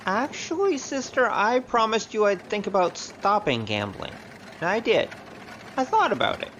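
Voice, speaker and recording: A man's voice: high-pitched, big pitch swings, normal speed, a bit bright, slight background noise. A man playing a cheeky, easygoing young wandering gambler in an audio drama, with a wry, glib delivery. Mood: playful, wry. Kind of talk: scripted audio drama acting.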